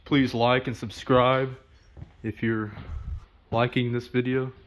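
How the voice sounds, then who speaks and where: A man's voice: medium pitch, flat delivery, slow pace. A man in his twenties walks through an empty abandoned house on a first-person camera. He chats casually to his viewers and points out what he finds. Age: 20s. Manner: talks to viewers while exploring, points out objects in plain remarks. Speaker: urban explorer vlogger. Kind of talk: casual walkthrough narration. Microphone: first-person body or head camera.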